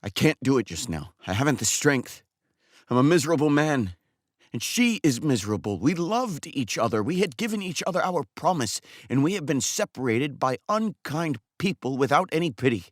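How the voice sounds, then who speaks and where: A man's voice: medium pitch, sing-song, no room sound, clean studio sound, voice pushed up. A man voicing a timid young male barber who is heartbroken, stammering and pleading in a raised voice. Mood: despairing. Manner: pleading, stammering, quick to despair.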